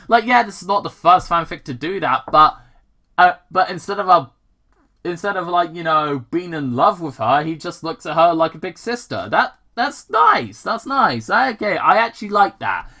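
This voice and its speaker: A person casually reading fan fiction aloud with commentary, halting and repeating words, with some swearing. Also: medium pitch, bright, forceful tone. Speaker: gaming channel host reading fan fiction.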